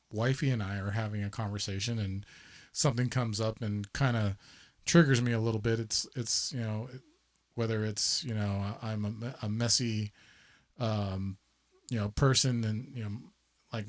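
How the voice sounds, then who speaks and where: Host: man, mid-pitched voice, halting, lots of "you know" and restarts. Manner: halting, many repeated words and fillers. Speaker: show host. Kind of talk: casual interview chat.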